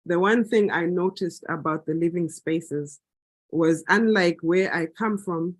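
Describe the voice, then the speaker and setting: A man from Zambia, speaking thoughtfully at a planning meeting, often pausing with fillers and restarting his sentences. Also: fairly high pitch, no room sound. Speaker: meeting participant. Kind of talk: unscripted talk at a planning meeting.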